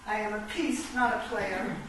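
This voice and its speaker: A woman's voice: mid-range voice, fairly even intonation, moderate pace, a bit bright, natural voice. An actress playing a grieving, proud and fiery chess queen in a radio play, with a theatrical, emphatic delivery. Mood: mournful. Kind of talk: stage radio-play acting. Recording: echoey hall, distant mic, background noise.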